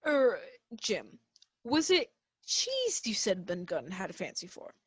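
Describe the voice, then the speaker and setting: A woman playing a genial, fussy gentleman doctor in a radio play, chatty and avuncular with hesitant little pauses. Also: mid-range voice, wildly swooping pitch, slightly rough, no room sound, exaggerated voice. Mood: genial, confiding.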